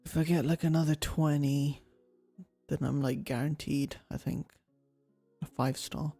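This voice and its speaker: A man's voice: a little high, steady tone, measured pace, creaky, warm tone. A man chatting casually as he streams a game, offhand and half muttering to himself. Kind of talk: casual live gameplay chat. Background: game music.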